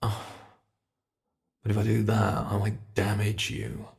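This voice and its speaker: A man's voice: on the low side, monotone, slow pace, vocal fry, heavily acted. A man voicing a centuries-old predatory monster in an ASMR roleplay, theatrical and taunting, savoring each phrase with haughty, possessive menace. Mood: grudgingly gentle.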